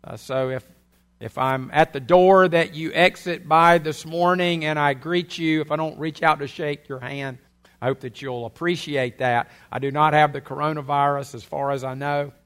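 A man's voice: a little high, steady tone, normal speed, a bit bright, clear, resonant tone. An older man, preaching a sermon in a folksy, chatty way with many uhs while he has a head cold. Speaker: pastor.

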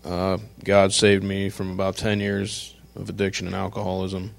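Gravelly low-voiced man from Ohio. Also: completely flat delivery, moderate pace, dry recording. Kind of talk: personal testimony.

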